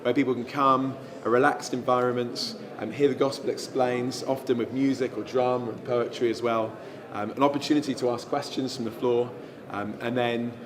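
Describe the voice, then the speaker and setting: Relaxed Englishman with many ums. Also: medium pitch, normal speed, few pauses, a crowd in the background. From England. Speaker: evangelist guest. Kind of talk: interview answers.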